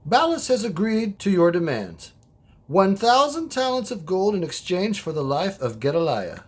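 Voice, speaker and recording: A man plays a stealthy, conspiring messenger in an audio drama. His voice is husky and pitched high for a man, with plenty of swing. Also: very animated, moderate pace, exaggerated voice. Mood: guarded, conspiring. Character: stealthy foreign messenger, a sly go-between in a plot.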